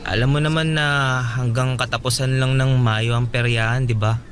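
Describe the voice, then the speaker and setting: An actor playing an earnest young man who works at a fairground, speaking with halting hesitation in a radio drama. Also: mid-range voice, fairly even intonation, a bit bright, a little hiss or hum, natural voice. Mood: hesitant.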